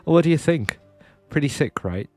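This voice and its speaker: A man's voice: a little high, lively intonation, normal speed, a little mellow, no echo, faint music behind, voice pushed up, lightly acted. A man with a nasal voice reading the lines of a boastful, friendly young male prison inmate from a game. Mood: chummy boastful. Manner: boastful, chummy, showing off.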